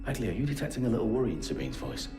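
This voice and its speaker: An actor playing a gritty, cocky former intelligence agent, blunt and sweary with a wry edge. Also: male voice, fairly low pitch, sing-song, very fast, music in the background.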